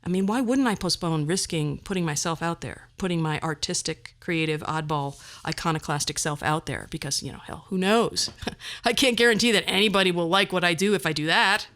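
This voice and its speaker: A woman's voice: lowish voice, a little fast, fairly bright tone. A middle-aged woman hosting a podcast, delivering a personal monologue in a wry, self-deprecating and theatrically emphatic way. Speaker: podcast host.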